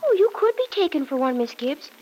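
A woman's voice: high-pitched, sing-song, fairly quick, a little mellow, slight background noise. An actress playing an eager, naive, gushing young girl in an old radio soap, on a vintage recording. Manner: gushing, girlish slang. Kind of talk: 1940s-50s radio soap acting.

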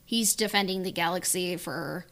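A woman's voice: lowish voice, moderate pace, fairly bright tone. A woman in casual discussion as a podcast panelist, giving opinions in a hesitant, hedging, informal way. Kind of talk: casual chat, opinion on a book.

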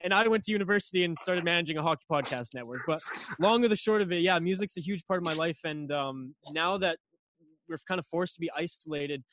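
A young man from Canada, a guest on a podcast, telling a long story casually with lots of fillers and restarts, his voice a little raspy. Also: fairly high pitch, fast talker, noisy background. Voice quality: slightly raspy.

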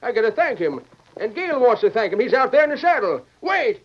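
A man's voice: very high-pitched, big pitch swings, brisk, heavily acted. An actor playing a feisty, cranky old American stagecoach man in a radio western. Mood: fiery, excited. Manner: cranky, folksy. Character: feisty, ornery old stagecoach owner in the West. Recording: dull, noisy old radio recording.

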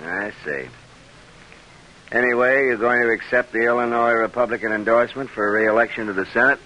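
An American actor playing a blunt, probing lawyer in an old-time radio drama. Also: male voice, medium pitch, brisk, pushed and bright, a little hiss or hum, lightly acted, rough recording. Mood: probing, needling. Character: blunt, probing male lawyer, loyal go-between. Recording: vintage radio broadcast recording.

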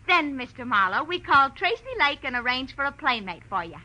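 An American actress playing a scheming, defiant nightclub performer in 1940s radio noir, sassy and tough-talking. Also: female voice, sits high, very animated, a little fast, sharp tone, full character voice. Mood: cool, menacing. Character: brassy, hard-edged female nightclub performer, scheming and defiant.

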